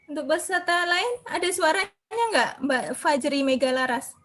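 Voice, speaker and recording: A woman's voice: sits high, a bit bright. A woman instructor running an online class roll call, calling on students one by one. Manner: brisk, calls names one by one. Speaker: course instructor. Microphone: video call.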